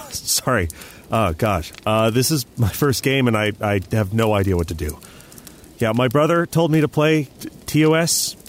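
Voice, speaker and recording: Man playing a jumpy, lively newbie gamer guy. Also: medium pitch, normal speed, close to an everyday voice. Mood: flustered. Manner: hesitant, stammering. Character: nervous, clueless young male newbie gamer. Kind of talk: naturalistic audio drama acting.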